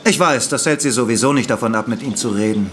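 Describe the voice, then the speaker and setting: A man acts a friendly police station officer in an audio drama. His voice is medium in pitch, with some noise behind it. He chats with easy, good-humoured familiarity. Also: fast talker, close to an everyday voice. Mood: amused, friendly. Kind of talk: German audio drama acting.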